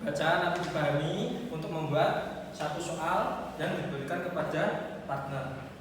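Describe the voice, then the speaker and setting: A man's voice: fairly high pitch, fairly even intonation, normal speed, a little hiss or hum. A young man with a Javanese accent, speaking as a student teacher giving classroom instruction.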